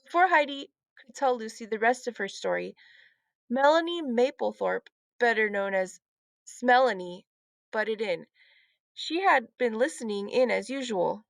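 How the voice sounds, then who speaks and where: A woman's voice: on the high side, expressive, a little slow, vocal fry, no room sound. A woman narrating a children's storybook as a read-aloud storyteller.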